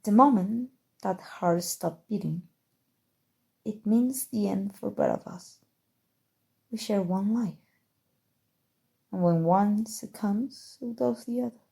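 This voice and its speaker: Woman voicing an evil sorceress, very dark soft tone, slow delivery, obsessively tender, intimate ASMR roleplay. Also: mid-range voice, exaggerated voice. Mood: possessive, ominous. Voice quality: breathy, soft, often dropping to whispers. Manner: slow, soothing, deliberate, sinister affection.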